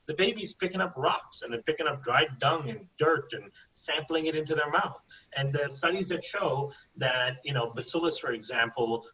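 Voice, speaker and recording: Indian-born guy explaining stuff in an interview, bright voice, keeps saying right?, sounds far off and muffled. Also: medium pitch, a little hiss or hum. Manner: explanatory, asks rhetorical "right?". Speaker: guest microbiologist. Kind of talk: interview answers.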